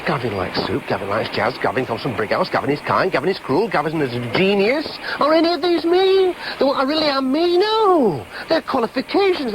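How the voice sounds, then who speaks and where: A man's voice: high voice, noisy background. An actor playing a bewildered, self-pitying man with a Yorkshire accent, philosophising in anguished, repetitive radio drama monologue.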